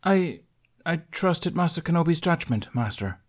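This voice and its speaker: A man's voice: on the high side, very animated, pitched above the performer's own voice. A man voicing a meek, nervous young female knight in an audiobook, speaking hesitantly and deferentially. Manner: quiet, hesitant, halting. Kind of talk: audiobook character dialogue.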